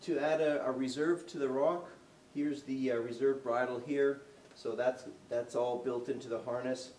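A man's voice: medium pitch, fairly even intonation, moderate pace, darkish tone. A man in his forties walks through a product's features in a casual, unscripted demonstration, with fillers and restarts as he moves about. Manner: moving around while demonstrating. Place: indoor showroom, medium-sized room. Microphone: camera mic from a distance. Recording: roomy echo, distant camera mic, faint hiss.

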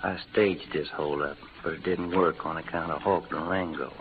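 A man acting a rough-talking cowboy outlaw in a 1940s radio western, with a folksy American Western drawl. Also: a bass voice, steady tone, moderate pace. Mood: boastful, hard. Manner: folksy, slangy cowboy talk. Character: rough-talking cowboy playing the bad outlaw for love's sake. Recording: old noisy radio recording, phone-like band.

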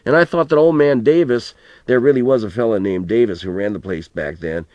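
A man's voice: mid-range voice, brisk, a little mellow, dry recording, highs cut off, lightly acted. A man reading a storybook as an elderly farmer grandfather, plain-spoken and folksy, telling rambling, earnest reminiscences with some mild swearing. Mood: musing. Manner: colloquial rural storytelling, plain-spoken, mildly profane.

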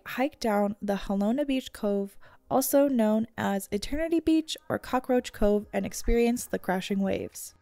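A woman's voice: on the high side, dark tone, no room sound. A woman reading a scripted travel guide narration for a vlog.

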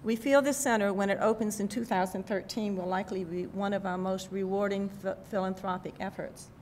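An older woman delivers a prepared keynote from notes at a podium. Her voice sits at a middling pitch, with faint rumbling noise behind it. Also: fairly even intonation, fairly quick, a little mellow. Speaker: keynote speaker. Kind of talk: prepared speech read from notes. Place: event hall at a podium. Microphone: podium microphone.

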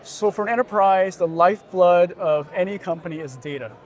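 A middle-aged man giving an assured, agreeable and well-structured conversational pitch as an interview guest. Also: fairly quick. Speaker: startup founder being interviewed. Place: busy indoor expo hall booth.

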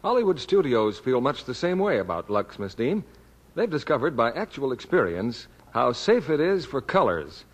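A male 1940s radio announcer with a mid-range, husky voice, selling in a reassuring, scripted way. He sounds like an old broadcast from a reverberant hall. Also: mid-range voice, big pitch swings, normal speed. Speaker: announcer and sponsor pitchman.

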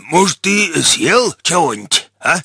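A man's voice: fairly high pitch, sing-song, measured pace, vocal fry, noisy background, full character voice. A man voicing a jolly, reassuring male animal pal in a cartoon, with a jovial, soothing manner. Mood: curious. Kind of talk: cartoon voice acting.

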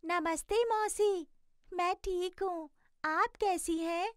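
A woman's voice: very high-pitched, slow, no room sound, clean studio sound. A woman voicing a chirpy, curious little blue bird in an educational cartoon, asking many questions.

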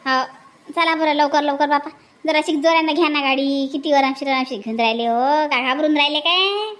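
A woman voicing a brisk, caring village woman in a cartoon, chatty and repetitive. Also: sits high, fairly bright tone.

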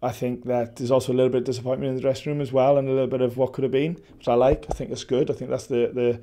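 Soft-spoken Welsh football coach. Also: male voice, medium pitch, level tone. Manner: measured, thoughtful, with frequent restarts and fillers. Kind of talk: post-match interview. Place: indoor press backdrop at a stadium.

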